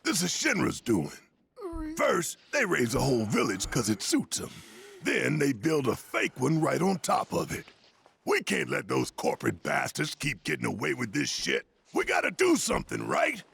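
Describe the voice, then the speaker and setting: A man voicing a gruff, passionate, protective rebel fighter in a video game, with a gravelly voice and folksy, rallying delivery. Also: medium pitch, huge pitch swings, moderate pace, heavily acted. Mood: indignant, rallying. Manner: forceful, folksy, swearing, rallying. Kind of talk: video game cutscene acting.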